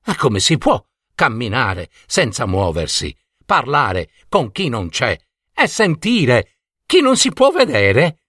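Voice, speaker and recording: A man's voice: medium pitch, wildly swooping pitch, slow pace, a bit bright, dry recording, clean studio sound, full character voice. A man voicing a bewildered young girl who speaks eagerly and full of wonder. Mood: puzzled.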